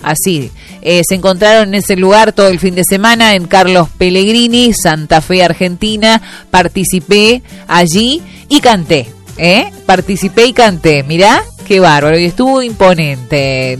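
A Uruguayan woman hosting a local radio show, chatting casually and reading listener messages with lots of fillers. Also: fairly low pitch. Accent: Uruguayan Spanish. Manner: chatty, many fillers and restarts. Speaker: radio host.

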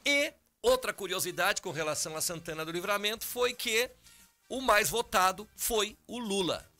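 A hoarse man, hosting a morning radio show in easygoing chat at a studio microphone. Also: sits high, lively intonation, moderate pace, sharp tone, faint music behind. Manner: chatty, easygoing, talks over cohost.

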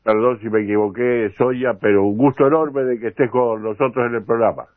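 An older man with a Uruguayan accent hosting a radio interview programme, with a halting delivery that often repeats words. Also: medium pitch, fairly even intonation. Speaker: radio host. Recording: tinny phone-like radio sound, roomy echo.

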